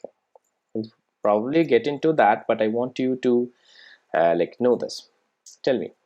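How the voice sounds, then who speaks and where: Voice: medium pitch, lively intonation, moderate pace, mellow, dull audio. Informal coding tutor thinking aloud. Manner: checks in with rhetorical questions and tag "right?"; thinks aloud while typing code. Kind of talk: informal screen-recorded programming tutorial.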